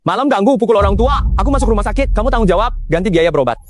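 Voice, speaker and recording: A man's voice: sits high, animated, racing, a little hiss or hum. A man playing an angry accuser who demands redress in a dubbed short drama. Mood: accusing.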